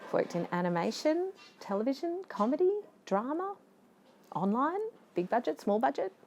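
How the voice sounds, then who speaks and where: A woman filmmaker in a documentary interview, listing her work in an upbeat, lively way. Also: fairly high pitch, normal speed, creaky, a little hiss or hum.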